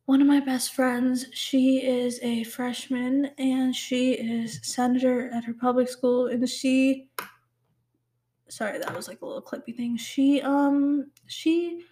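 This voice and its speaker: A woman's voice: high voice, fairly even intonation, takes it slowly, warm tone. A teenage girl of Pakistani heritage hosting her own podcast, rambling and teasing herself with lots of "like" and "um". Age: teens. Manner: rambling, self-teasing, full of "like" and "um". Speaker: podcast host. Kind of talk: casual solo podcast chat.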